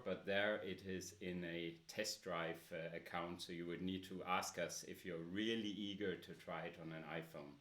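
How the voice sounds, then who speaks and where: A man with a loud voice presenting a smartphone app in a casual demo, with many filler pauses. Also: deep voice.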